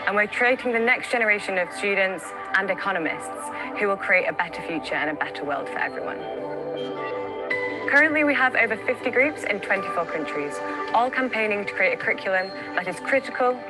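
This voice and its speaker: A woman giving a steady, informative scripted statement. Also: mid-range voice, fairly quick, over music, rough recording.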